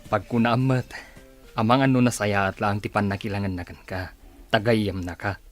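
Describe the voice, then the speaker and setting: A man's voice: lowish voice, fairly even intonation, moderate pace, faint music behind, close to an everyday voice. A man with an Ilocano accent acting a sincere, lovestruck older brother in a radio drama. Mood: sincere, tender.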